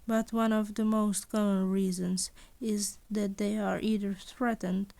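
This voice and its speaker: A woman voicing a weary, gruff adult male teacher who lectures bluntly, in a read-aloud fan story. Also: mid-range voice, level tone, a little slow, dark tone, voice pushed down. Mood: grim.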